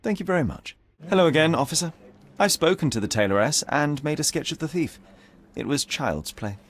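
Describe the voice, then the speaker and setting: Game role: young male detective, cocky, formal, dry; lightish pitch, animated delivery. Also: a little fast. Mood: dry politeness. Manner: self-assured, formal, dry. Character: young cocky gentleman detective, proud and dryly polite. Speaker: amateur detective. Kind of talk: video game voice acting.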